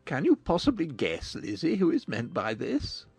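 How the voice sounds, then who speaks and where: A man voicing a witty, teasing older father with a gravelly, husky voice in a dramatised audiobook. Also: a little high, huge pitch swings, normal speed, a little mellow, no room sound, voice pushed down, full character voice. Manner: sardonic, theatrical emphasis.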